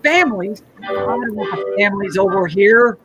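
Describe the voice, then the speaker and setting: A man's voice: high voice, expressive, normal speed, over loud music. An actor playing a frantic, bitter family man in a radio play, volatile and agitated. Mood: irritable. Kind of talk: old-fashioned radio play acting.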